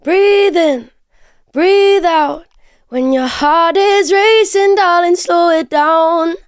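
A woman singer performing a pop song, gentle and slow. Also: very high-pitched, no room sound, clean studio sound.

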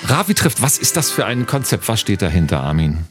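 A man's voice: on the low side, sing-song, fairly quick, music in the background. A man hosting a podcast in a casual, friendly way, tossing questions and tag questions to his guest. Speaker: podcast host. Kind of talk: casual interview chat. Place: studio, in a room with the guest.

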